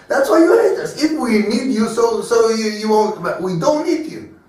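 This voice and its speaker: A rabbi teaching a Torah class, telling stories and acting out the voices of the people in them. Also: male voice, high-pitched, darkish tone. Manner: storytelling, acting out voices in dialogue. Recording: very echoey room, mic far away.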